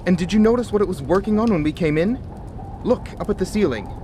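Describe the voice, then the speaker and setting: A wry polar scientist, noisy backdrop. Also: male voice, high voice, expressive, quick pace. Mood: awed explaining. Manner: wry, explanatory. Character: sharp-minded polar scientist, wry, awed and alarmed. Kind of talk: radio drama acting. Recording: noisy outdoor-like ambience behind dialogue.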